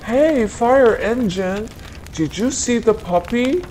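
Brief: a woman doing a friendly police car from a kids' storybook, earnest and upbeat, slow and lively, noisy recording. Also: fairly high pitch. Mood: eager helpful. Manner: earnest, eager to help. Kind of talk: storybook read-aloud. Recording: noticeable background noise.

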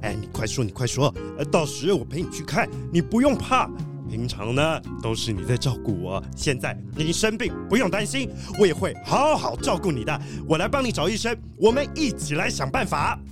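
Fussing old gentleman played by a man, swooping delivery. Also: on the high side, normal speed, over music. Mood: worried, fussing. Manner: excitable, solicitous, stammering with concern. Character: kindly, fussing old gentleman treasure collector. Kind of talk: children's detective radio drama.

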